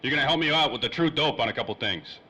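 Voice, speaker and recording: A man playing a bright-voiced hard-boiled private eye. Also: medium pitch, rapid-fire, husky. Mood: weary, pressing. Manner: slangy, wry tough-guy talk. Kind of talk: old-time radio drama acting. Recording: faintly noisy old-time radio recording.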